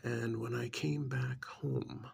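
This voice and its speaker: A man playing an arrogant, contemptuous ancient alien in an ASMR roleplay, speaking in a gravelly, breathy half-whisper with deliberate, condescending pauses. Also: medium pitch, steady tone, a little mellow, heavily acted. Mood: sly, self-satisfied. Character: arrogant ancient alien stranded in a human body, contemptuous and menacing.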